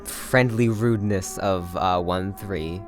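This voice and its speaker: A man's voice: deep voice, slow pace, soft background music. A man casually talking through a character from a play, hesitant and thinking aloud as he goes. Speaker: actor discussing a play.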